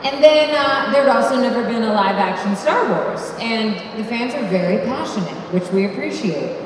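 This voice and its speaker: A woman guest on a convention panel, telling her story in a thoughtful, measured way. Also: fairly high pitch, expressive. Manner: thoughtful, measured, lightly self-deprecating. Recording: echoey hall from far off, noisy.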